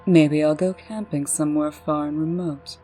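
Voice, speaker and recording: A woman playing a brisk, proper, no-nonsense administrator in a comic dub, husky and businesslike. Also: low-pitched, fairly even intonation, mellow, natural voice. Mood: airy, composed. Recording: music behind the voice.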